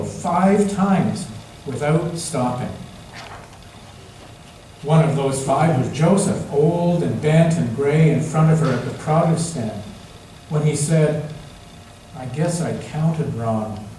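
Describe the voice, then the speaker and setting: Slow-paced man reading to children, echoey room. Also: on the high side, dark tone. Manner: interactive, repeats children's words and asks them simple questions. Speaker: children's story reader at a church service. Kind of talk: storybook read-aloud to young children. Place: large echoey room, church. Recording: echoey room, distant mic, faint noise.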